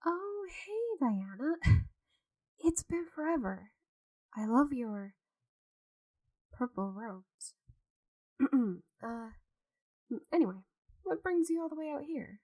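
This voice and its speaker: A woman playing a friendly, chatty, slightly scatterbrained forest witch in an ASMR roleplay audio drama, with a gentle, theatrical manner. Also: high voice, sing-song, slow, pauses often, dry recording, full character voice, poor audio. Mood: nervous feigned innocence.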